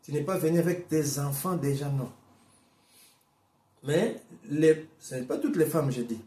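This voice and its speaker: A man preaching a sermon in as a Portuguese first-language speaker, emphatic, repeating and restarting phrases, swinging between slow stressed words and quick bursts. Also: on the high side, a little mellow, reverberant. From Portuguese-speaking Africa.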